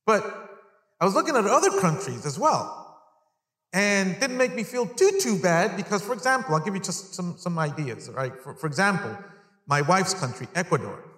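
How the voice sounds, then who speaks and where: A man's voice: high-pitched, a bit bright. A 55-year-old man from Long Island, New York, preaching conversationally to a congregation, repeating phrases for emphasis and putting questions to his listeners. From Long Island, New York, United States (born in El Salvador). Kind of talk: sermon to a live congregation. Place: large indoor church hall, speaking to an audience. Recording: echoey hall with long reverb.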